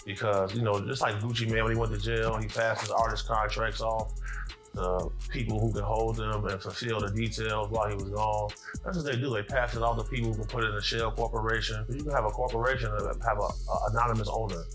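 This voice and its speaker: Low-voiced man explaining, faint music. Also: steady tone, fairly quick. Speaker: interview guest. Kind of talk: conversational commentary.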